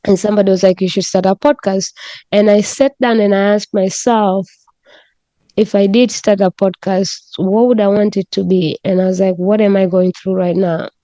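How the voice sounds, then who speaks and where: Warm, smooth-voiced woman. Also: medium pitch, moderate pace, dry recording. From Tanzania. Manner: frank and animated, swears freely. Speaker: podcast guest. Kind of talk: candid conversational storytelling.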